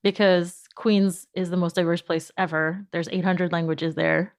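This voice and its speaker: A woman from New York, a native Spanish speaker, answering interview questions with animated storytelling and frequent laughter. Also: fairly low pitch, normal speed, no echo, studio quality. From New York, United States. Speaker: podcast company founder, guest.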